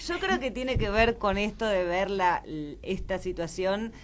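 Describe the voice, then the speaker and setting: A woman's voice: mid-range voice, animated, measured pace. A woman with an Argentine accent chatting casually as an interview guest, explaining her views and checking for agreement. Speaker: theatre director, interview guest.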